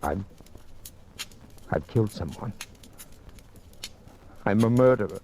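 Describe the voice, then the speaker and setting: Low-voiced lovesick killer, radio thriller. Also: male voice, lively intonation. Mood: anguished confession. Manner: halting, earnest, dramatic delivery. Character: lovesick, guilt-ridden murderer, desperate and intense. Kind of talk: 1940s-50s radio thriller acting. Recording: noisy vintage radio drama recording with clicks.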